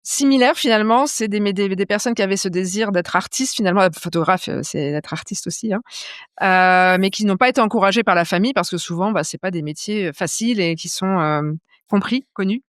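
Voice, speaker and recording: A woman hosts a podcast conversation and asks her questions in a loose, chatty way, filling pauses as she goes. Her voice sits at a middle pitch and sounds close and dry. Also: clean tone, no echo. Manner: relaxed and chatty, with frequent fillers. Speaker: podcast host. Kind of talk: casual interview chat.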